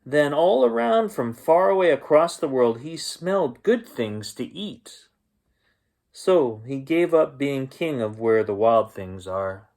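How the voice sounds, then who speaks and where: Male children's storyteller, leisurely delivery, lively intonation, chatty gentle asides between lines of the book. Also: medium pitch, vocal fry. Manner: unhurried, expressive, with gentle asides to listeners. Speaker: bedtime story reader and host. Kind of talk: children's picture-book read-aloud.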